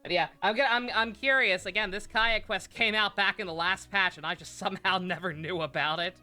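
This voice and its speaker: A bright, forceful man chats casually as a game streamer. He rambles with enthusiasm, and faint music plays behind him. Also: high-pitched, fairly even intonation, fairly quick. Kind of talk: casual livestream commentary.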